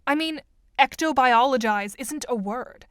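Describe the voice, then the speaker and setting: A woman playing a composed, articulate and skeptical teenage girl in an audio drama, dry and measured. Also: sits high, a little hiss or hum. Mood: questioning.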